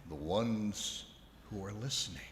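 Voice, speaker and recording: An actor playing a pompous, formal old-time radio narrator in a comedy play. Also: male voice, lowish voice, unhurried, natural voice. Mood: indignant. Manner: dramatic, formal announcer delivery. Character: pompous, literal-minded radio narrator who never drops character. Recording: echoey, noisy ballroom stage.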